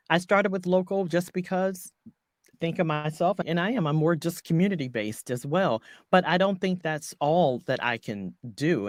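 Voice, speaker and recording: A woman voiceover artist talking through her business in an interview, halting often and correcting herself mid-sentence. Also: low voice, no echo, clean studio sound. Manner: halting, many restarts and self-corrections. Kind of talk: conversational business coaching interview.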